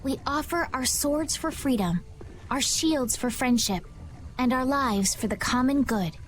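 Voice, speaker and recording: High-voiced, earnest young noblewoman. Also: measured pace, slight background noise. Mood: solemn. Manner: earnest, formal, articulate. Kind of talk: video game voice acting.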